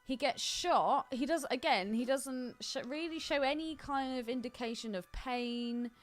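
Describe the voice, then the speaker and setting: A woman's voice: high voice, unhurried, faint music behind. A woman co-hosting a film podcast, chatting casually in a blunt, teasing way. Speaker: podcast co-host.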